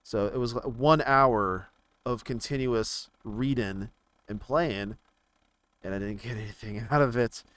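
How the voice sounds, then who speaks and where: A man giving casual, rambling commentary on video games, haltingly thinking out loud as he browses. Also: medium pitch, no room sound. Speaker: gaming video creator.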